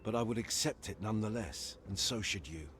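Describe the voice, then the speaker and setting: An actor playing a battle-hardened, loyal soldier in a fantasy game, solemn and blunt with formal phrasing. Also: male voice, fairly low pitch, brisk, a bit bright, slight background noise, lightly acted. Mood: stern. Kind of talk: dramatic fantasy game acting.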